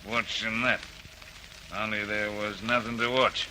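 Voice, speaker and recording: An actor playing a slightly tipsy, stubborn witness who rambles and repeats himself in a radio drama. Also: male voice, fairly low pitch, level tone, bright, forceful tone, slight background noise. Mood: boozy, self-important. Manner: rambling, repetitive, halting drunken talk. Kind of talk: old-fashioned radio drama acting.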